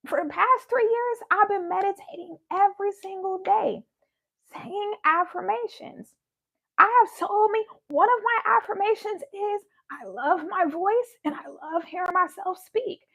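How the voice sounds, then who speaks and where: A young woman hosting a motivational livestream, earnest and goofy, laughing often, her voice going hoarse. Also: very high-pitched, measured pace, pushed and bright, low-quality recording. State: losing her voice. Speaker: livestream host. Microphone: phone or webcam by a ring light.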